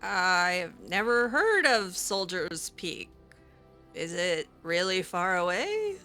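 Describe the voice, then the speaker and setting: A woman's voice: lowish voice, sing-song, takes it slowly, pushed and bright. A woman improvising in-character roleplay, hesitant and full of ums. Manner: hesitant, with frequent ums and restarts. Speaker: roleplaying game player. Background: faint game music.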